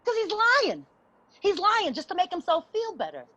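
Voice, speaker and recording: An actress playing a tough, defensive homeless friend with an American accent, husky and blunt, in a stage play reading with slightly muffled sound. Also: female voice, very high-pitched, huge pitch swings, normal speed, bright, forceful tone, heavily acted. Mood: aggrieved. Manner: blunt, punchy street talk.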